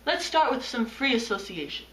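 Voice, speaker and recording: A woman's voice: a little high, big pitch swings, moderate pace, a bit bright, a little hiss or hum. A woman playing a pompous, self-absorbed male psychoanalyst in an amateur comedy skit, clinical and easily flustered. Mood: smug, clinical. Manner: clinical, self-important, flustered stammering when challenged. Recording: roomy echo, distant microphone.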